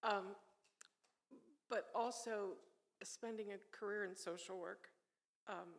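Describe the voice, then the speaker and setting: A woman's voice: medium pitch, steady tone, takes it slowly. A middle-aged or older woman speaking candidly and reflectively in a group book discussion. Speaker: class participant.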